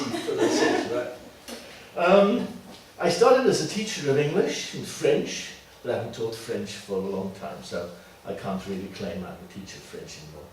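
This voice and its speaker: A man with an Austrian accent talks to a small group as their trainer, picking his words deliberately and checking in with short tag questions. His voice sits a little high. It is picked up from a distance in a very reverberant room with faint noise. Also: a bit bright. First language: German. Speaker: teacher trainer leading a workshop. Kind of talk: informal workshop talk to a small group. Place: classroom.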